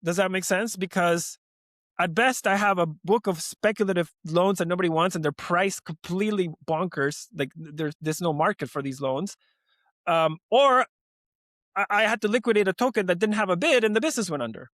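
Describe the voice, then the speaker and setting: Guest, 40s man from Venezuela, animated and emphatic, dry mic. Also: high voice, moderate pace, no echo. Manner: animated, emphatic, many restarts. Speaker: guest, lending company founder. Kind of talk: podcast interview.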